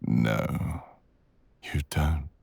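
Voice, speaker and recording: Casting: male actor for menacing dragon villain in intimate ASMR roleplay, extremely low husky voice, very slow seductive delivery. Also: darkish tone, no echo, full character voice. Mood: amused, seductive. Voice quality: gravelly, husky, breathy growl. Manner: slow, deliberate, teasing drawl with murmurs.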